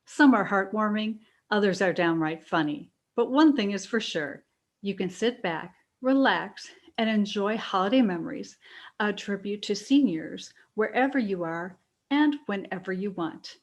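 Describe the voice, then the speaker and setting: A woman's voice: mid-range voice, animated, unhurried. A woman reading scripted promotional narration as an announcer.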